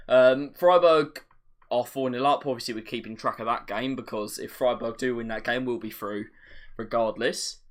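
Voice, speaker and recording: A man hosting a live watch-along, commentating on a match as a fan, his voice getting a little raspy. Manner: partisan, rises sharply on chances, drops low when play slows.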